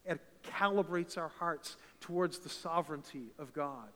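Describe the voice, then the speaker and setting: Fervent preacher with a big voice. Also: male voice, fairly high pitch, moderate pace. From eastern Canada. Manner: fervent, builds to loud emphatic peaks. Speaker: pastor. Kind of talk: sermon. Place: church sanctuary. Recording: reverberant church hall.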